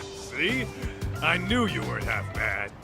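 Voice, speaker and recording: An actor playing a brash, sociable buddy in a video game dub, slangy and ribbing. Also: male voice, mid-range voice, wildly swooping pitch, slow, creaky, exaggerated voice. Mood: jovial. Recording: music behind game dialogue.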